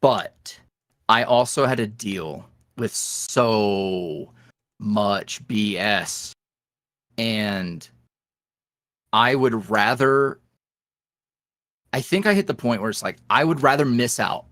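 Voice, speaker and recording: Animated young man, lively candid talk. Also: slow, pauses often, no room sound, studio quality. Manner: candid, animated, jumps up in pitch when quoting others. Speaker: guest, ASMR roleplay creator. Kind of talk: casual interview chat.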